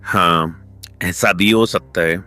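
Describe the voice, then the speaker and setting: A man playing an earnest, expressive village farmer in a cartoon story. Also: sits low, takes it slowly, exaggerated voice. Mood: puzzled. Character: two earnest village farmer friends, adult men. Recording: music behind the voice.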